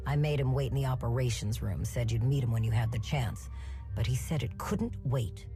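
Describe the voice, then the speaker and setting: An actress playing an informal, wry Imperial aide reporting to a commander in a video game. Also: female voice, rumbling low, normal speed. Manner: casual, wry. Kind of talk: video game cutscene acting. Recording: loud music behind the voice.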